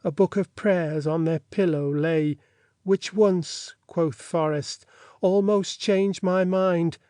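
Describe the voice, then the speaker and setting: A man reading an audiobook in a measured, formal and theatrical way, as a scripted literary narration. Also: sits high, fairly even intonation, mellow, no room sound.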